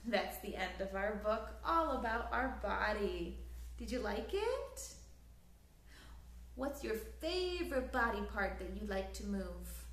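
A woman in her thirties reading a picture book aloud to young children in an expressive, sing-song way, recorded in a living room. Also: mid-range voice, takes it slowly, echoey room. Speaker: children's story time host. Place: small living room, indoors on a couch.

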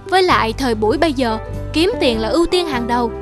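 A woman voicing a money-obsessed, dismissive young businessman, boastful and insistent, in an animated moral drama. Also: a little high, quick pace, music in the background, natural voice.

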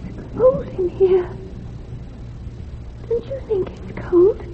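A woman's voice: very high-pitched, background noise. A woman playing a ghostly little girl in a radio drama, plaintive and childlike, repeating herself. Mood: plaintive, eerie. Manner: slow, repetitive, childlike questioning. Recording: tinny phone-like band, faint music.

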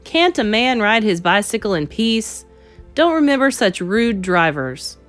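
A woman's voice: medium pitch, very animated, measured pace, fairly bright tone. Female reader as old storyteller man, storybook read-aloud, nostalgic, kindly, slightly grumbly, exclamatory, music in the background. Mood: bewildered, grumbling.

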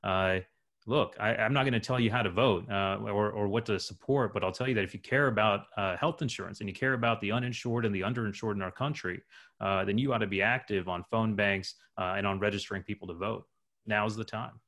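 A man's voice: fairly low pitch, a little fast, a bit bright. Urgent, earnest male doctor on a call. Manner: earnest, urgent, many filler uhs. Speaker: physician panelist. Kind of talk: panel discussion. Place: home room with bookshelves. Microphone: video call.

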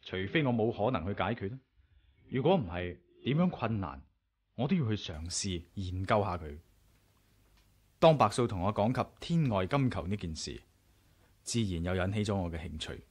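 An actor with a Hong Kong Cantonese accent playing a curious, self-assured adventurer in a radio drama, frank and measured. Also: male voice, fairly low pitch, animated, a little mellow, breathy edge. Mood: intrigued, reflective. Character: curious, bold male adventurer narrating his own tale. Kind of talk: radio drama acting and narration.

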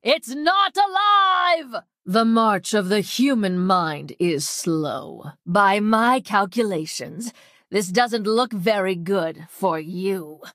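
A woman's voice: medium pitch, lively intonation, slow, dry recording, studio quality. An actress playing a coldly arrogant female mad scientist villain in a video game, with a clinical, mocking manner and precise diction. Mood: menacing, theatrical. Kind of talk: theatrical video game voice lines.

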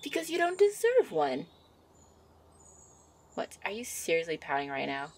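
A woman's voice: on the low side, wildly swooping pitch, moderate pace, pitched below the performer's own voice, heavily acted. A woman as a shy, easily flustered fairy. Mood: pouting. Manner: soft, coy, easily flustered. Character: shy, sweet young female fairy, flustered, teasing lover. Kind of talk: ASMR roleplay. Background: birdsong and outdoor ambience.

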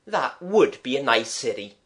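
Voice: medium pitch, big pitch swings, measured pace, fairly bright tone. A reader voicing a genial, well-travelled man who paints, with a dry, kindly, musing manner in a storybook.

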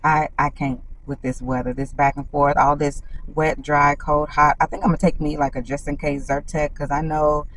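A woman's voice: low-pitched, level tone, normal speed, hardly any pauses, slight background noise, low-quality recording. A woman in her thirties chatting casually to camera as a vlogger, talking to her viewers like a girlfriend, partly filmed in a parked car on a phone. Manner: chatty, addresses viewers as girl. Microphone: phone camera at arm's length.

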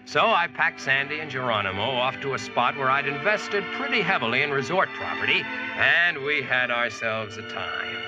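A confident American Yankee boss, played by a man, telling his tale wryly in a vintage radio play. His mid-pitched voice is very animated, on a muffled recording with music. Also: moderate pace, natural voice. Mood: wry, reflective. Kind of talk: 1940s radio drama narration and dialogue.

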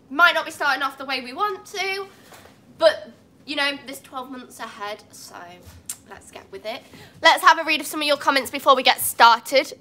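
A woman's voice: high-pitched, pushed and bright, a little hiss or hum. A young English woman with a cold, chatting on a livestream from a cat cafe, apologetic and laughing often. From England. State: has a cold. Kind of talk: casual livestream chat. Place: indoor cat cafe with large windows. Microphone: phone on a tripod.

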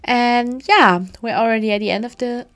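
A woman in her twenties narrating a home cleaning vlog in a relaxed, chatty voice-over, full of fillers. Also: a little high, normal speed. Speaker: homemaking vlogger.

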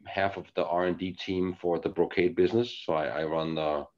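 Man from Denmark, middle-aged, very low voice, rambling with fillers, home living room, laptop webcam mic, dull lo-fi audio. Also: fairly even intonation, brisk, a little mellow. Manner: rambling, many fillers and restarts. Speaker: engineering executive presenter. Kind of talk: casual webcast presentation. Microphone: laptop webcam mic, video call. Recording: dull lo-fi video call audio.

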